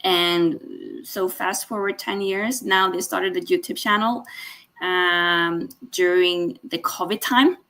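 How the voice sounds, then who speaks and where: A woman's voice: lowish voice, fairly even intonation, takes it slowly, sharp tone. A woman, a guest on a video call, speaking hesitantly with many fillers and frequent laughs. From Sweden. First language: Swedish. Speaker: guest, sales director. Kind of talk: casual interview.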